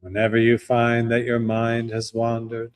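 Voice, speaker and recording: A man guiding a breathing meditation, speaking slowly and calmly with long pauses. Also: medium pitch. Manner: slow, calm, with long pauses. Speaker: meditation guide.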